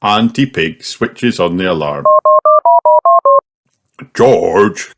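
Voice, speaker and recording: A man reading a children's story aloud in an animated, expressive storytelling manner. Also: low voice, slow pace. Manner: animated, puts on character voices. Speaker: audiobook reader. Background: music.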